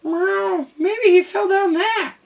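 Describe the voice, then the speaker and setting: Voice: very high voice, takes it slowly, gravelly, far from the mic, pitched above the performer's own voice, exaggerated voice. A reader voicing a bossy house cat who meows before snapping out lines in a storybook read-aloud. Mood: worried.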